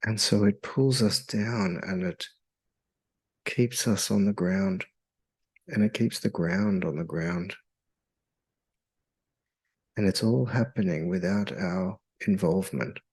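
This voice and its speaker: Low-pitched man, guided meditation, very slow delivery, soothing, hypnotic, long pauses. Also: darkish tone, breathy edge.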